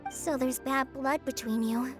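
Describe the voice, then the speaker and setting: A woman playing a composed, thoughtful young female investigator in a video game, calm and analytical. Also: sits high, a little slow. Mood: thoughtful, measured. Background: soft game music.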